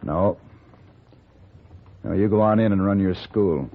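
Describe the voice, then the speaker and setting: An actor playing a steady, principled gunfighter hero in an old-time radio Western, speaking in a calm, measured way. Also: male voice, low voice. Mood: calm, persuasive. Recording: dull old radio recording.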